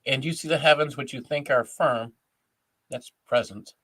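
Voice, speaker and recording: A man's voice: mid-range voice, normal speed, bright, forceful tone, poor audio. A man co-hosting a casual discussion, explaining points step by step like a teacher going over grammar. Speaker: co-host, educator.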